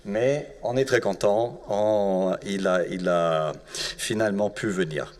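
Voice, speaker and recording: A man's voice: on the low side, slow, a little mellow. Bavarian-accented man, hesitant. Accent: German (Bavarian). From Bavaria, Germany. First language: German. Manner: hesitant, many filler sounds. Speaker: urban planning professor, event moderator. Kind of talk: public lecture introduction.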